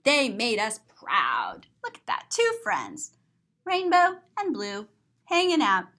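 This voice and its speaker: A woman's voice: fairly high pitch, huge pitch swings, slow, pushed and bright. A woman reading a children's picture book aloud as a story-time host, expressively and with simple explanations for young listeners.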